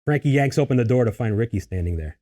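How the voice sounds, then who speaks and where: A man's voice: medium pitch, expressive, fast, warm tone. A man narrating a screenplay, reading out scene headings and stage directions as scripted narration.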